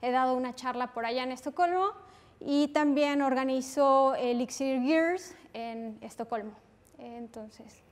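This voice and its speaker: A woman's voice: high voice, measured pace. A woman in her thirties from Mexico with a Mexican Spanish accent, introducing a conference talk earnestly with many hesitant fillers. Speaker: conference speaker. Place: lecture room with audience. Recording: reverberant lecture hall.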